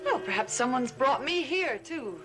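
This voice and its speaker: An actress playing a confused, troubled young bride-to-be in a hesitant, earnest way, in the style of a 1970s gothic television soap. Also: female voice, high-pitched, big pitch swings, normal speed, music in the background. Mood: guarded, conciliatory.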